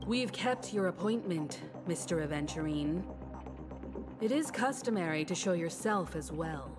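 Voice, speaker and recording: A woman's voice: fairly low pitch, expressive, measured pace. A woman voicing a composed, coolly polite and formal woman in a video game. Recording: game music underneath.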